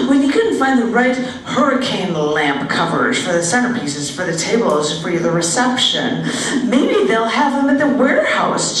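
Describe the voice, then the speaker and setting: A middle-aged woman reads a narrative poem aloud in an emphatic, conversational way. Her voice sits at a medium pitch. The live open mic recording is heavily reverberant, with a faint noise over it. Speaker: poet. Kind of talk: expressive live poetry reading of a personal narrative. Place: indoor room at a poetry open mic. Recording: echoey, noisy room, distant-sounding live recording.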